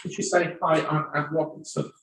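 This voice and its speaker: A man's voice: on the high side, little pitch movement, normal speed, noisy background. A man chairing a town meeting, stumbling and repeating words when he speaks off the cuff. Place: council meeting room. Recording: roomy hall, voice from far off.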